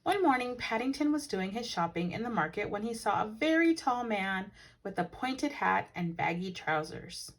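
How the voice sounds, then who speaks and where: A woman with a very lively, swooping delivery reads a picture book to children. Her voice is somewhat husky and mid-pitched. She leans into the drama of the story like a teacher holding young listeners. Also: normal speed. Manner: animated, dramatic emphasis with hushed asides to listeners. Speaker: teacher reading aloud to children. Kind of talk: expressive children's picture-book read-aloud.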